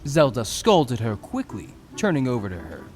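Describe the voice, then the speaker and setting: A male narrator tells a fantasy audio drama in a mid-range voice. His pitch moves animatedly, and soft music plays underneath. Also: huge pitch swings, moderate pace.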